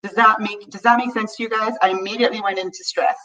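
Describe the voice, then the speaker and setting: Female live-stream coach, mid-pitched, earnest and chatty, slightly distant muffled mic. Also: steady tone, fast, sharp tone. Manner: earnest, reads viewer comments aloud, frequent "right?". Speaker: money coaching host. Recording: distant mic, dull lo-fi sound.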